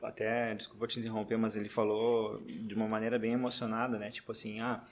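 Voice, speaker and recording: A man co-hosts a casual podcast in a lowish, flat voice. He is relaxed and slangy, cutting in with quick opinions. Faint noise sits behind him. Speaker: podcast co-host.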